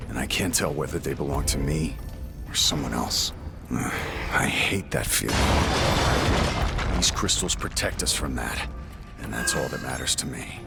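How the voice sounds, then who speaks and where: An actor playing a gruff, brooding, blunt warrior man in a video game, terse and sardonic. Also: very deep voice, a little slow, over loud music, exaggerated voice. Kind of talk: video game cutscene acting.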